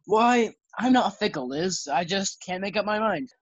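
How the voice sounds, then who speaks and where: A woman's voice: medium pitch, animated, normal speed, breathy edge, no echo. A woman acting a breezy, girl-chasing bachelor man in a 1940s-style radio sitcom. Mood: lovelorn. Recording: slightly dull, lo-fi sound.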